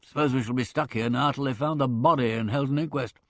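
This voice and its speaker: A man voicing a blustering, boorish middle-aged country squire, hearty and loud in manner. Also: mid-range voice, fast, no echo, close to an everyday voice.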